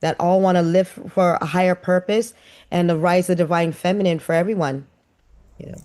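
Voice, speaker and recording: A woman's voice: fairly low pitch, steady tone, fairly quick, dark tone, clean tone. A woman co-hosting in casual chat, rambling and earnest, with many fillers. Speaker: co-host and project organizer.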